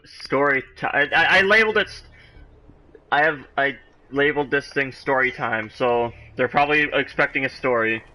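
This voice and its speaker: A man's voice: medium pitch, sharp tone, rough recording. A man chatting casually on a game livestream, rambling and often breaking off as he goes. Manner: halting, rambling storyteller who often breaks off. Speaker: game streamer. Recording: home stream mic with clear background noise.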